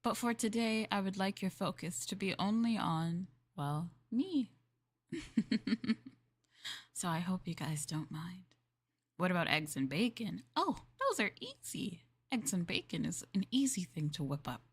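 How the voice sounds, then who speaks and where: A young woman streamer in a maid roleplay, chatting in a polite, formal way, giggling and dropping into soft asides. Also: fairly low pitch, sing-song, a little slow, breathy edge. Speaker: VTuber streamer.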